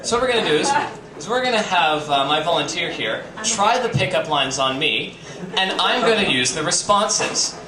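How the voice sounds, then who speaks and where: A man's voice: on the high side, lively intonation, moderate pace, distant mic. A man doing a comedic live reading for an audience, theatrical, with dry joking asides. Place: bistro live show with audience. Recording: echoey, noisy live stream from off mic.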